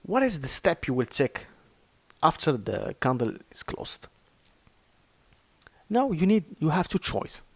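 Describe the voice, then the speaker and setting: A man's voice: fairly high pitch, very animated, no room sound. Man with a Moroccan accent teaching. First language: Arabic. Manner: earnest, halting, with many fillers and restarts. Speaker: crypto trading teacher. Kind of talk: informal screen-share lesson.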